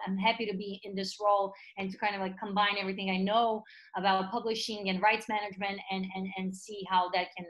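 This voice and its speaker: A woman from Serbia introduces herself on an industry panel. Her voice sits at a middle pitch. She talks with many fillers and restarts. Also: a bit bright, low-quality recording. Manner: hesitant, repeats words, friendly. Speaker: panelist, business development.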